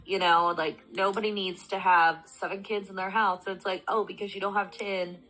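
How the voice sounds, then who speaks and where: A woman's voice: mid-range voice, flat delivery, normal speed, fairly bright tone, rough recording. A woman in her thirties sounds stuffed up and nasal from allergies. She talks casually and rambles, full of ums and restarts. Faint music plays behind her. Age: 30s. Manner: rambling, many fillers and restarts. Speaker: panelist. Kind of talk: casual discussion.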